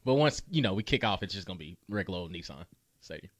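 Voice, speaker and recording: A man co-hosting a casual sports talk show, lively with quick reactions. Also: sits low, no echo, studio quality.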